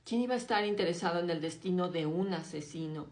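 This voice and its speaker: A woman reading a classic novel aloud in a slow, hushed, dramatic way, dropping her voice low on grim passages. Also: roomy sound. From Mexico. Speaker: audiobook reader.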